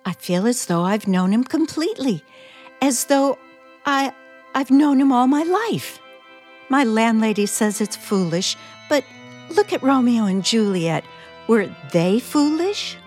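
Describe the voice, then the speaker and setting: High-voiced, fluttery romantic bride. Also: female voice, very animated, darkish tone, soft background music. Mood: lovestruck. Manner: gushing, hesitant, halting. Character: naive, romantic, anxious newlywed bride. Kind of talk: old-time suspense radio drama acting.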